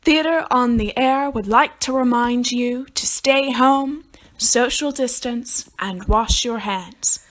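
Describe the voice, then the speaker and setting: A woman's voice: on the high side, slow, rough recording. A woman reading a scripted introduction as a program announcer.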